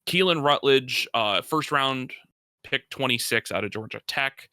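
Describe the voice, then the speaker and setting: A man's voice: medium pitch, unhurried, pushed and bright, smooth, no echo, clean studio sound. A man co-hosting a casual sports podcast, giving emphatic, opinionated analysis in slangy everyday language. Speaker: podcast co-host.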